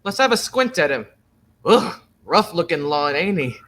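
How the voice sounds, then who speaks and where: Actor as bright-voiced cynical train passenger. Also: male voice, on the high side, very animated, moderate pace. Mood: gossipy. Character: cynical, gossipy working-class London male train passenger. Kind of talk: 1930s radio play acting.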